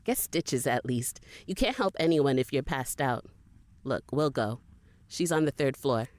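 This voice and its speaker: A woman's voice: a bass voice, expressive, unhurried, a little mellow. A young woman playing a gutsy, foul-mouthed monster fighter who narrates a horror audio drama in a breathless, conversational way. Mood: determined. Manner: sweary, breathless, conversational first-person storytelling.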